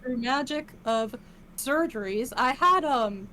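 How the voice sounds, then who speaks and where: A woman's voice: high voice, lively intonation, slow, bright, forceful tone, slight background noise. Boisterous woman with a country accent. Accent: rural American country. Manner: boisterous, rowdy, swears freely. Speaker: guest singer. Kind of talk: casual chat on a stream.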